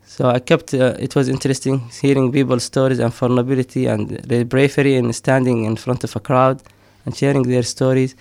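Man, middle-aged, gravelly, dry close sound, hesitant with fillers. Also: mid-range voice, little pitch movement, a little fast, hardly any pauses, darkish tone, no room sound. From Somaliland. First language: Somali. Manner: hesitant, many fillers, frequent laughs. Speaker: poet and storyteller. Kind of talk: casual interview talk.

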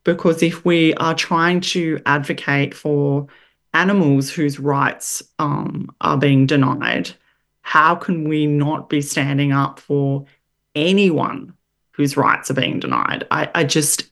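A woman hosting a podcast interview, speaking in a reflective, measured way. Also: sits low, fairly even intonation, vocal fry, a bit bright. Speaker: podcast host. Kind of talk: interview conversation.

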